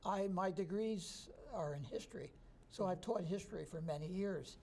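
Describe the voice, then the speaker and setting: A man in his sixties from New Jersey, a history professor answering interview questions in a relaxed, thoughtful way. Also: high voice, moderate pace. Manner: relaxed, thoughtful, chuckles easily.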